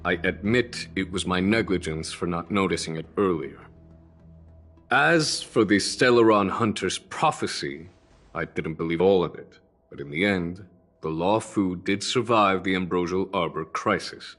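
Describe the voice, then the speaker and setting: A man voice actor playing a shrewd, calm general in a video game, speaking in a measured, unruffled way. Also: deep voice, soft background music. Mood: composed. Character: composed, shrewd male general, calm strategist. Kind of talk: scripted video game voice acting.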